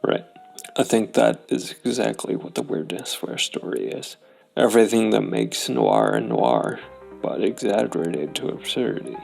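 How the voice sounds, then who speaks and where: A man with a low, heavily creaky voice reasons through a role-playing story aloud. His intonation swings widely as he muses, and music plays faintly behind him. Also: sing-song, moderate pace, a little mellow, poor audio. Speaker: solo role-playing game player and host. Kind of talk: musing tabletop game narration.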